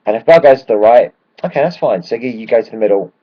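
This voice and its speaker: A man, hoarse from shouting at a match, ranting excitedly and swearing freely in a casual football vlog. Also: mid-range voice, fast, dull audio. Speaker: football fan vlogger.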